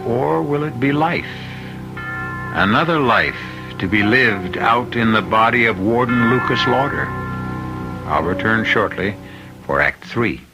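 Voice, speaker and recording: A man narrating a radio mystery as its host, in a measured, ominous, formal manner. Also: mid-range voice, expressive, poor audio. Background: music.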